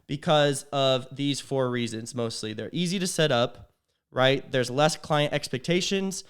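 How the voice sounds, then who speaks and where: A young man talking through a business tutorial in a loose, conversational way, tagging his points with "right?". His voice is smooth and resonant underneath, with a slight rasp from a cold. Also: medium pitch, unhurried. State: a little sick, slightly raspy. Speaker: agency owner teaching business sales.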